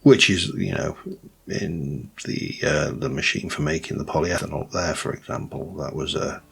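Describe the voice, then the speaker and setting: A man with a light Yorkshire accent, rambling through casual gameplay commentary with many pauses and restarts. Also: very deep voice, gravelly, fairly bright tone, husky. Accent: light Yorkshire English. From Yorkshire, England. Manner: rambling, thinks aloud with many ums and restarts. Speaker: let's play host.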